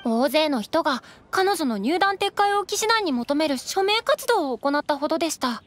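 An actress playing a polite, earnest young waitress in a Japanese game, kindly and measured in her speech. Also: high-pitched, expressive. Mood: serious, explanatory. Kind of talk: Japanese anime game voice acting.

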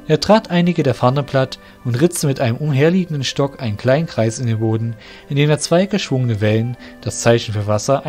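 A man reading the narration of an amateur radio play, in a steady, measured way. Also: medium pitch. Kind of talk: scripted storytelling narration.

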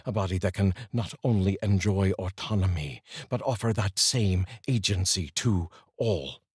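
A middle-aged man with a deep, heavily husky voice. He speaks earnestly and deliberately, in the measured manner of someone reading poetry to his viewers. The sound is dry and close, from a studio microphone in a small room. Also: a little mellow, no echo. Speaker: host and voice-over reader. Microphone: large studio microphone, up close.

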